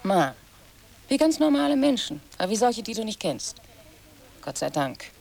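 A woman's voice: on the high side, big pitch swings, normal speed, vocal fry, darkish tone, exaggerated voice. A woman playing a bitter, nagging middle-class wife and weary mother in a radio drama, proper and full of reproach. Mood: weary, hushed.

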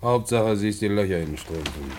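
A man's voice: lowish voice, expressive, fairly quick, darkish tone, a little hiss or hum, natural voice. A man playing a gossipy, snooping husband in a German radio play, colloquial and insinuating. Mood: sly. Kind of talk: 1950s-set German radio play acting.

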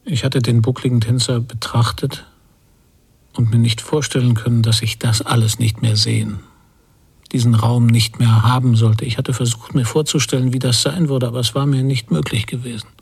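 An actor playing an anxious, brooding emigrant husband in a radio drama, speaking in halting fragments with a husky, breathy voice that often nears a whisper. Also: male voice, mid-range voice, flat delivery. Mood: brooding, subdued. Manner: halting, introspective, short fragments. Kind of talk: literary radio drama monologue.

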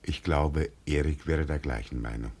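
An actor playing a solemn, humble ageing labourer with grand delusions in a German radio play, speaking weightily and mysteriously in halting phrases. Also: male voice, rumbling low, a little mellow, breathy edge. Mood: guarded, respectful. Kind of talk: 1950s German radio drama acting.

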